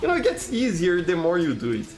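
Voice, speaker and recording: A man's voice: sits high, wildly swooping pitch, quick pace, darkish tone, faint music behind. A man from Brazil with a Brazilian Portuguese accent, a game streamer chatting casually and reading chat aloud, friendly, with stammered restarts. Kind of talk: casual live-stream chat while playing.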